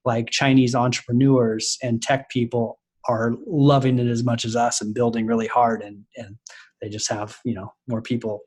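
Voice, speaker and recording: A man with a middle-range voice speaks in a halting, searching way, starting over and filling gaps with "uh" and "um". It sounds like relaxed podcast talk. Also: medium pitch, husky. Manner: hesitant, halting with many uh and restarts. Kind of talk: casual podcast conversation.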